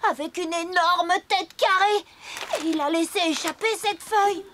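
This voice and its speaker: A woman voicing an excitable small animal child in a French children's cartoon, with a dramatic, emphatic delivery. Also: extremely high pitch, moderate pace, a little hiss or hum.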